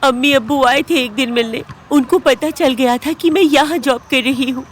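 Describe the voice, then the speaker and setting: A woman's voice: sits high, normal speed, a little hiss or hum, lightly acted. A woman playing a distraught, snappish young woman in a Hindi audio drama, mixing Hindi with English. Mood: tearful, bitter.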